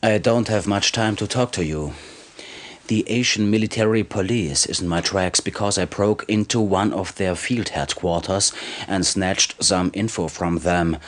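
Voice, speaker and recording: A sly, low-voiced arms dealer. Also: male voice, a little slow. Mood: brisk, pressing. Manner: smug, chuckling salesman patter. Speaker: game character actor. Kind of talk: video game cutscene acting.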